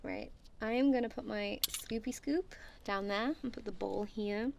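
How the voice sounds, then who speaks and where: A woman's voice: mid-range voice, normal speed, gravelly, darkish tone, slight background noise. A woman doing a friendly, goofy ASMR roleplay as casual one-sided chat, playful and giggly, with a self-deprecating, apologetic manner.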